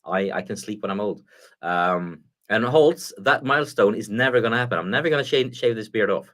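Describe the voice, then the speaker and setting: A man's voice: lowish voice, lively intonation, fairly quick, fairly bright tone. A Swedish man, a native Swedish speaker, chatting casually as a guest on a live stream, with frequent stops and restarts. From Sweden.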